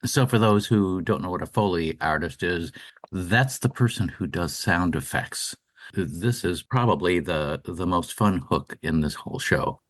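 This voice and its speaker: A man's voice: low voice, lively intonation, a little slow. A man hosting a conversational radio interview about the arts, putting questions and comments to a guest.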